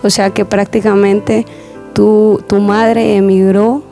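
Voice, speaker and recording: Mellow-voiced woman hosting a church program. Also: medium pitch, flat delivery, takes it slowly, soft background music. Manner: warm pastoral, repeats words, many affirming murmurs.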